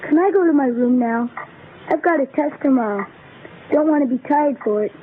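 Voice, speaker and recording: A woman playing a calm, detached young schoolboy in a radio horror drama, speaking in a matter-of-fact way. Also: sits high, normal speed. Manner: flat, matter-of-fact, unfazed. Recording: narrow tinny radio recording, faint noise.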